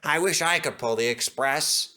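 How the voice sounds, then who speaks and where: A man's voice: a little high, normal speed, pushed and bright. A man voicing an eager, cheeky little male steam engine in a children's story, with a perky manner. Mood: wistful. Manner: perky, teasing or eager to please.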